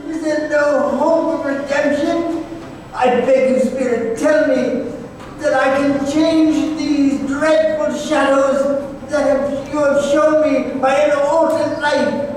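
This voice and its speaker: An older man playing an old miser, halting and theatrical, by turns pleading and giddy, in old-time radio drama style. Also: very high-pitched, a little mellow. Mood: desperate pleading. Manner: halting, exclaiming, stammering, theatrical. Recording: very echoey hall, distant mic.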